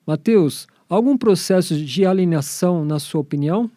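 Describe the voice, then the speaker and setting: A man's voice: sits high, normal speed, warm tone, no echo, studio quality. A Brazilian man hosting a radio program, presenting and putting questions in a scripted style. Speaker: radio host.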